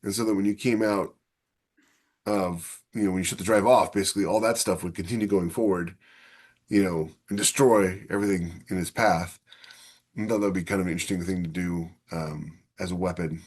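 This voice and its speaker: A man's voice: low-pitched, fairly even intonation, a little fast, a little mellow. A man co-hosting a podcast in casual conversation, tentative and often searching for his words. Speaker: podcast co-host. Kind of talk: casual discussion of speculative science for fiction.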